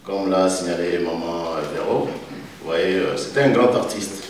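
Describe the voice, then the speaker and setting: Middle-aged Congolese-accented man, low voice, halting public thank-you speech, very echoey distant recording. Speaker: event speaker giving a tribute. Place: event hall with faint room noise. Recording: echoey hall, distant mic, faint noise.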